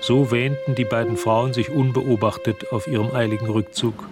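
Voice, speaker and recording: A man giving measured, composed scripted narration for a literary radio drama. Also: medium pitch, fairly even intonation, music in the background.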